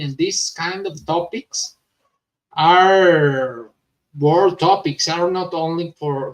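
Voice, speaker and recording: A man with a native Spanish speaker's accent hosting a crypto news vlog, reading news and commenting informally in halting, stumbling English. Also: fairly high pitch, far from the mic, rough recording.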